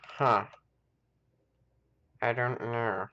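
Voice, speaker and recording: A man's voice: medium pitch, steady tone, drawn-out words, sharp tone, muffled recording. An American man hosting a game live stream, chatting casually and rambling as he thinks aloud. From United States. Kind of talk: casual chat while playing a game.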